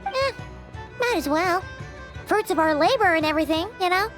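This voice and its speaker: A small, childlike fairy companion from a video game. The voice is extremely high and swings up and down with cheerful, exclamatory energy. Music plays clearly underneath. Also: female voice, lively intonation, moderate pace, fairly bright tone. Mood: bright, friendly. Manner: perky, exclamatory, speaks of self in third person.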